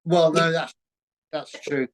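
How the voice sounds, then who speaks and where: Male interview host, slightly high voice, polite, halting, conversational delivery. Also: steady tone. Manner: hesitant, polite. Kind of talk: casual interview chat.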